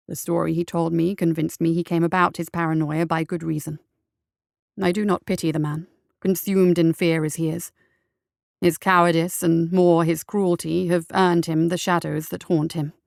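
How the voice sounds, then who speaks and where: A woman reading as a haunted private investigator writing home, formal, sombre and measured, in an audio drama. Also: lowish voice, gravelly, no echo, clean studio sound. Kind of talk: audio drama letter narration.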